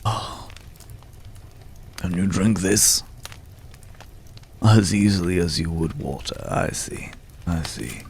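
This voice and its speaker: Man playing a very gravelly, slow-spoken brooding gentleman. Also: low voice, lively intonation, exaggerated voice. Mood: polite curiosity. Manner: archaic, florid, hesitant, pensive phrasing. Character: mysterious, courtly, world-weary man at a night campfire. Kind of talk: slow, intimate ASMR roleplay acting.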